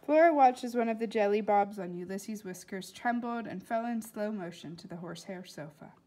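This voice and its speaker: A woman reading a storybook aloud as an expressive narrator. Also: medium pitch, fairly quick.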